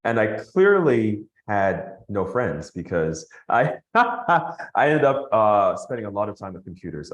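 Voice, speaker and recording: A man from Nigeria and the Bahamas, a podcast guest telling his story in an animated way, laughing as he goes. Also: on the low side, echoey room. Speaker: guest, company founder. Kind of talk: podcast interview.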